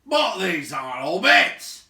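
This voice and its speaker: A man voicing a huge, gruff, bearish storybook man who is suspicious and blunt, with a growling, drawn-out delivery. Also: on the high side, sing-song, sharp tone, pitched above the performer's own voice, heavily acted. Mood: scornful, booming. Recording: echoey room, distant from mic.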